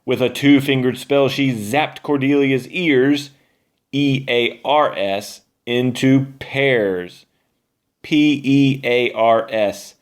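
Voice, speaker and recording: Guy reading a kids picture book, takes it slow, expressive, pauses for drama, normal pitch. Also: smooth. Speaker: storybook narrator. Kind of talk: scripted children's story narration.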